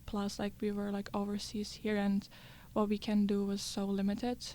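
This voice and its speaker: Young woman from Turkey, monotone and mellow, halting answers. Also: mid-range voice, a little hiss or hum. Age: young adult. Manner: halting, simple phrasing with fillers. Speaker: student athlete. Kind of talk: casual interview answers.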